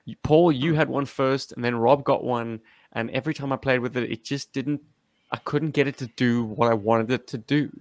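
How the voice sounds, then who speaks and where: A man's voice: medium pitch, steady tone, normal speed, no room sound. A man hosting a casual, slangy chat about action figures as a collector. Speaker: podcast host and toy collector.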